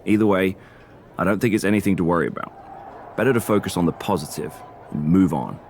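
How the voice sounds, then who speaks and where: A man playing a wrestling show manager in a game cutscene, reassuring and dismissive in measured corporate talk. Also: deep voice, darkish tone, slight background noise. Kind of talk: video game cutscene acting.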